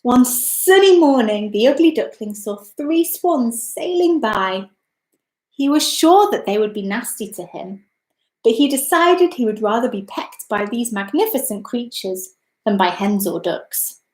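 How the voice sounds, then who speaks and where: A woman narrates a children's story expressively and deliberately. Her voice is fairly high, with very lively intonation, and the room around it is audibly echoey. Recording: echoey, roomy sound.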